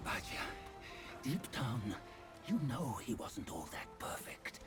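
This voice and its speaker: Grave old game sage: unhurried, archaic phrasing, widely swinging intonation, music underneath. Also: male voice, medium pitch, sing-song. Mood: solemn. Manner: solemn, measured, archaic phrasing. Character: solemn old male sage guide, cryptic and grave. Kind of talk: dramatic video game dialogue. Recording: music behind the voice. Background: game score music.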